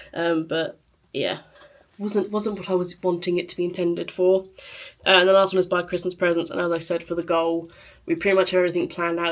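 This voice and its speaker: A woman with a sore throat and a scratchy, quiet voice, chatting casually to camera in a rambling vlog. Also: lowish voice. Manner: chatty, rambling, lots of fillers. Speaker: lifestyle vlogger.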